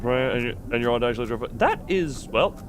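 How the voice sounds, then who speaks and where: A man's voice: medium pitch, animated, moderate pace, faint music behind. A man hosting a game livestream, chatting casually and rambling as he thinks aloud while playing. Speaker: game streamer, host. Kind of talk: casual livestream chat with gameplay commentary.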